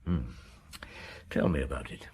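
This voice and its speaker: An actor playing a seasoned, middle-aged police inspector in a radio drama, talking in a measured, conversational, reflective way. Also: male voice, a little mellow, lightly acted. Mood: calm questioning.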